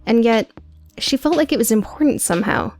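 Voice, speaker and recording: A woman reading scripted audiobook prose in a measured, dramatic storytelling manner. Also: fairly high pitch, expressive, gravelly, darkish tone. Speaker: audiobook narrator.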